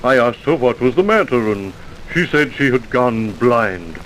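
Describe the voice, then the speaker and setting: An actor playing a timid, flustered office manager in an old radio drama, hesitant and stammering. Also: male voice, mid-range voice, animated, measured pace, a bit bright. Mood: nervous, subdued. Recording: noisy vintage radio recording.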